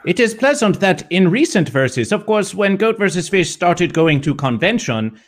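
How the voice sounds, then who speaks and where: A man's voice: high voice, very animated, moderate pace. A man playing an eccentric, celebratory mascot persona who talks in stilted, repetitive phrases and speaks of himself in the third person. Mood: gleeful, earnest. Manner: stilted foreign-style phrasing, repeats phrases, exclaims yes. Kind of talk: in-character improvised interview.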